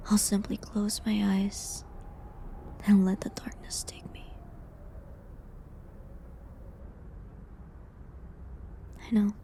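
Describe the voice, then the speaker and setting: A woman playing a falsely accused village healer in a medieval audio drama, sad and resigned, speaking softly and hesitantly with pauses. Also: mid-range voice, a little hiss or hum. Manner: soft, hesitant, breathy delivery with pauses. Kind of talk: dramatic audio roleplay monologue, medieval setting.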